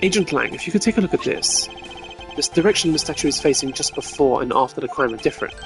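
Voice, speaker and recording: A man voicing a composed, relentless video game prosecutor who speaks in a formal, measured, confident way. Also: a little high, expressive, over music. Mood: firm.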